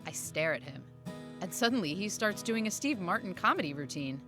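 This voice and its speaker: A woman's voice: fairly low pitch, sing-song, brisk, over music. A woman playing a chatty, perky barista with a wry streak in an indie audio drama. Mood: chatty, bright.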